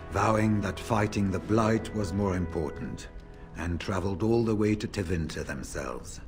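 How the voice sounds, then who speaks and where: An actor playing a seasoned, plain-spoken veteran warrior in a fantasy video game, measured and familiar as he talks. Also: deep voice. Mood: solemn storytelling. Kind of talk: fantasy RPG dialogue. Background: game music.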